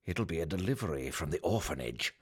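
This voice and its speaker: An actor playing a cold, irritable Victorian doctor in a radio play, pompous and curt with a gravelly voice. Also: male voice, low voice, expressive, a little fast. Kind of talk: period radio play acting.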